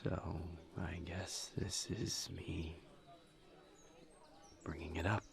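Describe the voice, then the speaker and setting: A man playing a courteous, earnest incubus demon in an intimate audio roleplay, speaking softly and breathily in halting, formal phrases. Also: sits low, fairly even intonation, full character voice. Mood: awkward, earnest. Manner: hesitant, halting, formal wording, soft-spoken and breathy. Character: courteous, earnest male incubus demon, awkward among friends.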